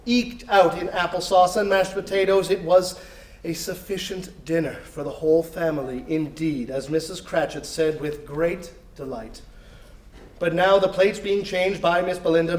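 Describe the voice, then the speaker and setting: A man giving an expressive, theatrical reading of a story, with deliberate phrasing. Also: high-pitched, far from the mic. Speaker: dramatic reader. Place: indoor live reading before an audience. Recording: roomy live recital sound.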